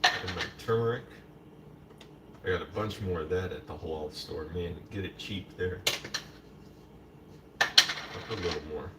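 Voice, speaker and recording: Low-voiced man rambling from a distant mic. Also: moderate pace, pauses often. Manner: rambling, talks to himself while mixing a bowl, drops in Spanish words. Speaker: streamer. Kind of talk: casual live-stream chat while making food. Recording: distant mic with noticeable background noise.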